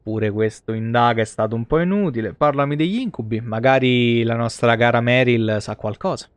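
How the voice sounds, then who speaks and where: Italian male game streamer, thirties, smooth clear voice, dry sound, sarcastic and sweary. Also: mid-range voice, brisk, no room sound. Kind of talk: casual live-stream commentary on a role-playing game.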